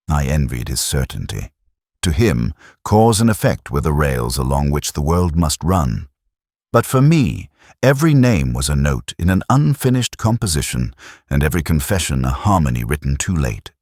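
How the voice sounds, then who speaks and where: Male actor for brooding detective narrator in a radio mystery: very deep, expressive pitch movement, measured and reflective, dry sound. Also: dry recording, exaggerated voice. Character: brooding, lonely, reflective male police inspector. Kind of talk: scripted first-person mystery narration.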